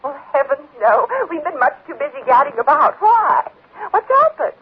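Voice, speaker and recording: High, swooping, gushing society lady. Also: very animated, moderate pace. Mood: breezy. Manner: effusive, affected, calls people darling. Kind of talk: 1940s radio acting. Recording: tinny, noisy 1940s radio recording.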